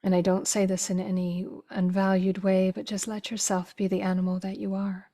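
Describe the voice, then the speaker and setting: Soft, husky woman guiding meditation. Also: medium pitch, completely flat delivery. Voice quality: soft, breathy, husky voice, often trailing into a whisper. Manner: slow, gentle, unhurried phrases with long pauses. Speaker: meditation teacher. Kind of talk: guided meditation.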